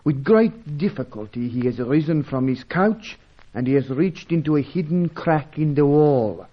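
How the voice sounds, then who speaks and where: Solemn, humble guide. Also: male voice, fairly high pitch, expressive. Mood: confiding. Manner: formal, halting, florid storytelling. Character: superstitious, humble South American local guide, courteous and solemn. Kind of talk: old-fashioned radio serial acting. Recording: dull, band-limited old radio recording.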